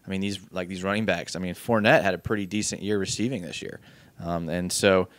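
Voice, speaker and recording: A man co-hosting a casual sports podcast in a very deep voice. He lays out his points in a measured, explanatory way. The sound is dry and close to the mic. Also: fairly even intonation, dry recording. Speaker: podcast co-host.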